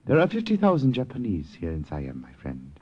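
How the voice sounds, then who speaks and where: An actor in an old radio drama playing a courtly, enigmatic Siamese regent, speaking in measured, formal, eloquent phrases. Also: male voice, medium pitch, huge pitch swings, darkish tone, slightly rough. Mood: solemn, resolute. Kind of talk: 1940s-50s radio drama acting. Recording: dull, lo-fi old radio recording.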